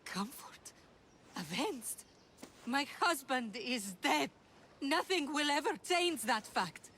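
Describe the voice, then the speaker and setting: A woman's voice: high voice, lively intonation, takes it slowly, pushed and bright, slight background noise, exaggerated voice. A woman playing an earnest, troubled townswoman in a video game, with an emotional delivery. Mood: grief-stricken. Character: earnest, troubled Greek townswoman pleading for help. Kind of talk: video game cutscene acting.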